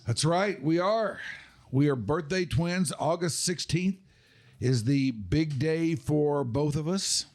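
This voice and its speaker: Older male podcast host, slightly high-pitched. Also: expressive, slow, a little mellow. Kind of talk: casual podcast hosting.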